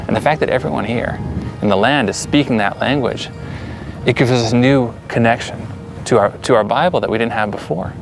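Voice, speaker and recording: An American man, a guest, calmly telling personal stories in an interview. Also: medium pitch, fairly quick, gravelly, music in the background. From United States.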